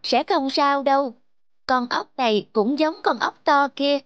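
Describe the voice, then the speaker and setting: A woman voicing a young child in a gentle moral cartoon, speaking simply and earnestly. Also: high-pitched, measured pace, no room sound, highs cut off. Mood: scheming. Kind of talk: Vietnamese children's cartoon dubbing.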